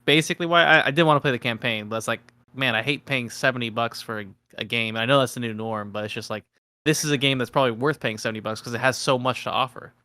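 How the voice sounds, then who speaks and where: A man's voice: medium pitch, fairly even intonation, fast, sharp tone, no room sound. A man co-hosting a gaming podcast, explaining things in a casual, rambling way. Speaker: podcast co-host.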